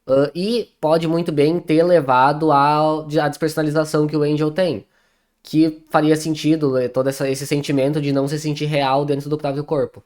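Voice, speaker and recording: A man's voice: a little high, little pitch movement, normal speed, a little mellow. A teenage young man with a Brazilian accent, giving casual explanatory commentary as a video essayist. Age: teens. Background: prominent music.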